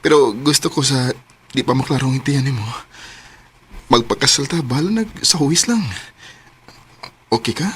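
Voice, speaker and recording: An actor playing a devoted, eager young suitor in a radio drama, with a Filipino accent and an earnest delivery. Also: male voice, mid-range voice, normal speed, pauses often, breathy edge. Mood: joyful, earnest. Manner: earnest, expressive. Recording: noisy radio drama recording.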